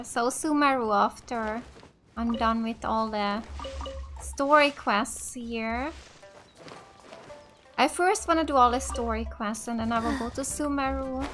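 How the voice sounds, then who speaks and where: High-voiced woman gaming casually. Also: a bit bright. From Finland. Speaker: gaming let's play host. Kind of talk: casual gameplay commentary.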